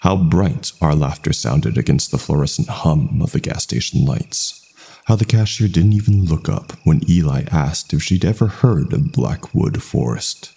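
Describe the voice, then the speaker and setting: Very deep, gravelly male horror narrator. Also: expressive, normal speed, dark tone. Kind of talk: scripted first-person horror narration.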